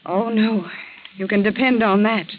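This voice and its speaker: An actress playing an anxious, insistent young daughter in a 1940s radio drama. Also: female voice, mid-range voice, fairly even intonation, measured pace, natural voice. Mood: earnest, probing. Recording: muffled 1940s radio recording.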